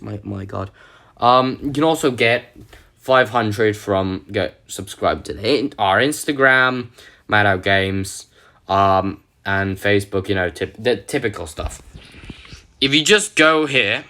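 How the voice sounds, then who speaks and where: A man with a half-lost, hoarse voice, rambling through a casual mobile game tutorial with lots of self-corrections. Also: lowish voice, pushed and bright. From United Kingdom. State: partly lost voice, throat-clearing. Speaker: gaming channel host.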